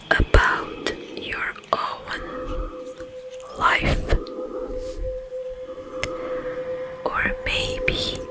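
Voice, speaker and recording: A woman voicing a soothing yet menacing sleep paralysis demon in an ASMR roleplay, in a breathy whisper with halting, drawn-out phrases. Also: extremely high pitch, heavily acted. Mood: eerie, intimate.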